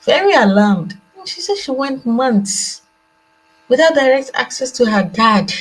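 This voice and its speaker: A woman's voice: high voice, sing-song, measured pace, poor audio. A woman with a Nigerian accent giving unscripted opinions as a remote guest, speaking hesitantly and reflectively and repeating phrases for emphasis. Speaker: remote guest on a panel discussion. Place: indoors at a laptop on a remote online call.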